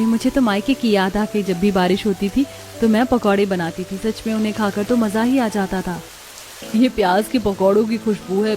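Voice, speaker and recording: A woman's voice: mid-range voice, darkish tone, natural voice. Casting: woman to play homely, caring Indian in-law for cartoon family drama, middle register, light music bed. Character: warm, family-minded young Indian daughters-in-law and older women in-laws. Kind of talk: Hindi cartoon story dialogue.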